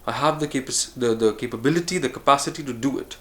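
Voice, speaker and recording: Earnest male vlogger, mid-pitched, unhurried musing delivery, pauses and self-corrections. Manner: earnest, speaks of choosing calm over harshness. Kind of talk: reflective solo monologue.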